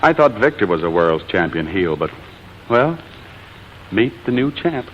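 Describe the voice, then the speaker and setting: Excitable smooth-talking leading man. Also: on the low side, measured pace. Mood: smitten, elated. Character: smooth-talking, excitable young male sweetheart, a scheming showman. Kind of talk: 1940s radio comedy acting. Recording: dull, hissy 1940s radio broadcast recording.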